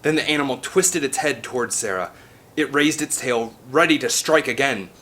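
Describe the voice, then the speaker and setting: A man's voice: medium pitch, normal speed, fairly bright tone, a little hiss or hum. A man reading a children's adventure novel aloud with an emphatic, theatrical delivery. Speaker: audiobook reader. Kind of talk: dramatic scripted narration of a children's adventure novel.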